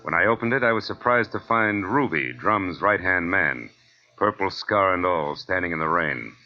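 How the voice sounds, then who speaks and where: Hard-boiled detective, American, 1940s radio drama: very low voice, deadpan and suspicious, dull vintage sound with music behind. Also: male voice, moderate pace. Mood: wry narration. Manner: wry, clipped, deadpan. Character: hard-boiled male private detective, wry, suspicious, blunt. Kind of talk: 1940s radio drama acting, first-person noir narration. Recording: dull old-time radio recording with music.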